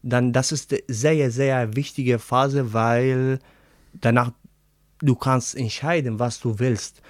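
A man with an Arabic accent, a native Arabic speaker, answering interview questions in halting, word-searching German. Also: mid-range voice, steady tone, no room sound, studio quality. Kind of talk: casual interview.

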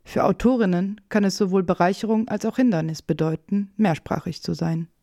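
A woman's voice: medium pitch, steady tone, brisk, warm tone, dry recording, clean studio sound. A woman reporter reading scripted narration for a radio feature, with music behind her voice.

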